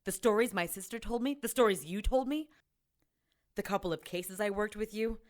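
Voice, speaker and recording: An actress plays a tough female ex-cop in an audio drama. Her voice is medium-pitched and she delivers her lines in a blunt, sardonic, natural way. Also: normal speed, natural voice. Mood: candid. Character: tough, sarcastic ex-cop woman, blunt and exasperated.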